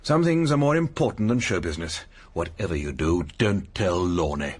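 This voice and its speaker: An actor playing a witty, arch entertainer turned sleuth in a radio mystery, with a theatrical, dryly flippant manner. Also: male voice, sits low, animated, normal speed, slightly rough. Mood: brisk, knowing. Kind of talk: radio mystery drama acting.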